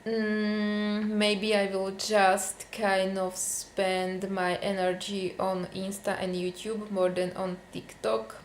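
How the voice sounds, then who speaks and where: A woman's voice: mid-range voice, flat delivery, slow, few pauses, echoey room, slight background noise. A young woman from Slovakia with a Slovak accent, venting casually to camera in a vlog, with many fillers. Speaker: vlogger.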